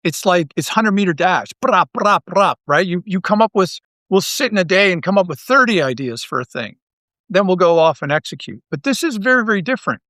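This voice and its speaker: A man from Canada chatting casually as an interview guest, an animated storyteller who rambles and repeats his phrases. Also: high-pitched, fairly quick, dry recording, studio quality. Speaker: interview guest, audio drama writer.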